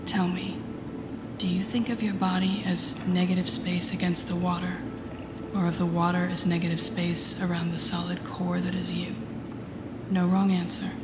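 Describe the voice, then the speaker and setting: A woman playing a confident, teasing telepathic villain in an intimate audio roleplay, speaking softly and often near a whisper in a soothing, guiding way. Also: fairly low pitch, level tone, moderate pace, heavy background noise, close to an everyday voice. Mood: calm, guiding. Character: teasing, confident female telepathic villain coaching a rookie hero. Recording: echoey indoor pool sound, music behind. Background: music.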